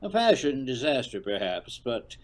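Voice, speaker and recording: A man playing a dry, unflappable old radio veteran, deadpan and formal, in a comedic audio drama. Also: mid-range voice, normal speed, a little hiss or hum, natural voice.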